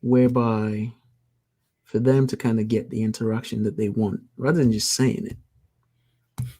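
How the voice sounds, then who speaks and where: A man's voice: lowish voice, measured pace, husky, dry recording. A man who is a guest on a talk show, chatting casually. He asks curious questions and adds joking asides. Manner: animated and curious, jokey asides. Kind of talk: casual conversation.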